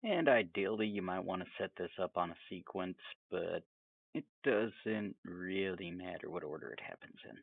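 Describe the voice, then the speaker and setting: A man giving a casual, off-the-cuff software tutorial, rambling along with chatty, self-amused asides. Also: low voice, animated, normal speed, vocal fry, breathy edge, no room sound, narrow, tinny audio. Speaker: tutorial presenter.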